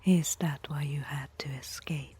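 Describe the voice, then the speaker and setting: A woman voicing a proud, disdainful desert tribeswoman who nurses reluctantly, in a breathy whispered ASMR roleplay with formal phrasing. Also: low-pitched, steady tone, dark tone, full character voice. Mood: suspicious, probing. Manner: slow, measured, formal phrasing.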